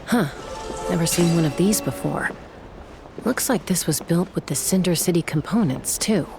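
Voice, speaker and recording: A woman's voice: fairly low pitch, normal speed, natural voice. An actress playing a confident, pragmatic young adventuring woman in a video game, businesslike with a wry edge. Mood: focused, brisk. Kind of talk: video game character acting. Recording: game music behind the voice.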